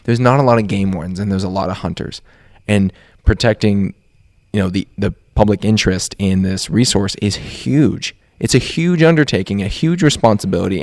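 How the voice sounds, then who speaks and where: A man's voice: lowish voice, a little mellow, no room sound, studio quality. A man co-hosting a podcast, discussing casually and emphatically, making his points with rhetorical questions. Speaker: podcast co-host.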